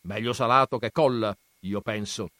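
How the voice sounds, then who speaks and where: Male reader as elderly patriarch, booming and commanding, dry close recording. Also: normal speed, pushed and bright, dry recording. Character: stern old Armenian grandfather, patriarch.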